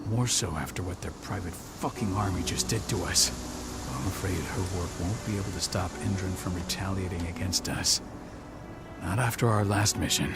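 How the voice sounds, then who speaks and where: A proud, wounded pack leader in a game cutscene. His voice is low and grave, with weary, measured authority. Music plays clearly behind him. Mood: stern, grim. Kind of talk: video game cutscene acting.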